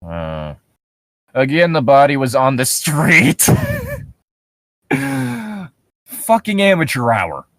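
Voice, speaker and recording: A man co-hosts a casual comedy reading show in a sardonic, sweary manner. His pitch is lively and swings around as he gets exasperated. The sound is dry, with very little room in it. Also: very slow, long pauses, dry recording. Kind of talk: casual riffing while reading horror stories aloud.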